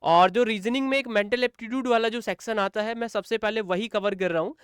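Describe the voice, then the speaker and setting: A man's voice: high-pitched, a little fast, clean tone, no room sound, studio quality. A man teaching students in an informal walkthrough, repeating points and answering his own questions. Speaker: exam coaching teacher.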